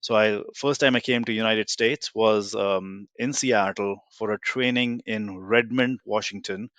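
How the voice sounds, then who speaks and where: A man's voice: fairly low pitch, fairly even intonation, a little slow, fairly bright tone, dry recording. A man in his forties from India with an Indian accent, a guest telling his story in a rambling, self-deprecating way with lots of fillers. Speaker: guest, tech company founder. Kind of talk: casual interview talk.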